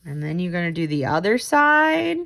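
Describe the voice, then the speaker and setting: A woman's voice: lowish voice, big pitch swings, normal speed, creaky, a bit bright. A woman tutor giving children simple, encouraging step-by-step instructions for a craft. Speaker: tutor leading a children's read-aloud craft.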